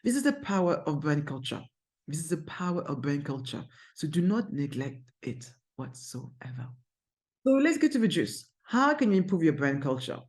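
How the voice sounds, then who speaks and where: A middle-aged agency founder with a strong French accent, a native French speaker, giving energetic, emphatic business advice to camera from an office, with frequent restarts. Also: mid-range voice, moderate pace.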